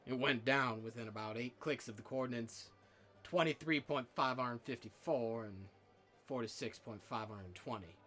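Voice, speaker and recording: Casting: male actor for space-western tipster, folksy and sly, bright mid-range voice, conversational. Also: mid-range voice, moderate pace, close to an everyday voice. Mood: coy, persuasive. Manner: conversational, coy storytelling. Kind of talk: naturalistic film dialogue.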